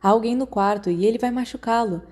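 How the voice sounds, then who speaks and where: Woman, Brazilian accent, mellow timbre, story narration, audiobook reader. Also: fairly high pitch, fast.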